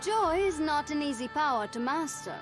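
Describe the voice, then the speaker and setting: A woman's voice: high-pitched, animated, normal speed, music in the background. An actress voicing a joyous, boastful young woman warrior in a video game, grand and theatrical, proclaiming her fearlessness. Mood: grand, solemn. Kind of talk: video game champion voice acting.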